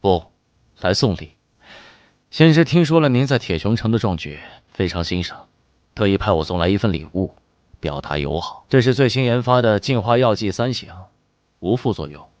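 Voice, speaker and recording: Sinister cult emissary, male actor: lowish, unctuous, swooping courtesy, slightly muffled animated-drama track. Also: moderate pace, no room sound, close to an everyday voice. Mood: smoothly polite. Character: suave, smug male envoy of sinister cult. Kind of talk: animated motion-comic drama dubbing.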